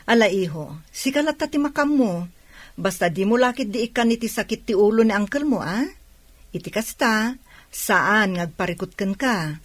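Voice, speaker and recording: A woman's voice: medium pitch, expressive, moderate pace, no room sound, lightly acted. A woman playing a caring, indulgent mother in an audio drama.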